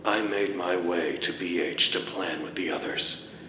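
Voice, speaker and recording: An actor playing a dutiful researcher at an underground anomaly site in an audio drama, speaking in a formal, wordy way with dry wit. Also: male voice, sits low, steady tone, a little slow, pushed and bright, slight background noise. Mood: matter-of-fact. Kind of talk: audio drama, recorded log monologue.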